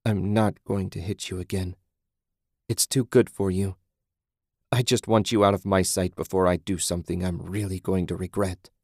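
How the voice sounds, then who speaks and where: Man voicing a furious, vengeful woman, lower-pitched. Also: little pitch movement, moderate pace, dry recording, clean studio sound. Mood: bitter, cold. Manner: vehement, forceful, profane. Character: furious, vengeful grieving woman, hissing and shrieking. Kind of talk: audiobook character acting.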